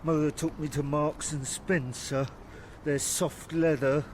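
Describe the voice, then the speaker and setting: A childlike, obedient grown man, played by an actor in a radio drama. He talks slowly and literally in simple repeated phrases, a little high, over faint background noise. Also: steady tone, natural voice. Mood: placid, literal. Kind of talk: radio play dialogue.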